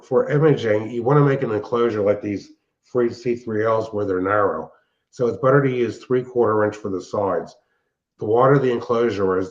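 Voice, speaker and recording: A man's voice: on the low side, a little mellow. An older man chatting casually and technically, explaining things in a rambling way as a show host and hobbyist. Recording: dull, band-limited sound.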